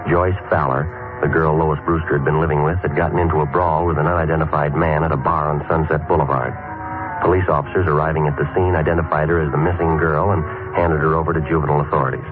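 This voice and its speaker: No-nonsense American detective narrator, rock-bottom voice, brisk and flat, old radio sound with music. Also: male voice, very deep voice. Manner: deadpan, matter-of-fact. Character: terse, no-nonsense male police detective and narrator. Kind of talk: 1950s radio police drama narration, clipped and flat. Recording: muffled vintage 1950s radio, music behind.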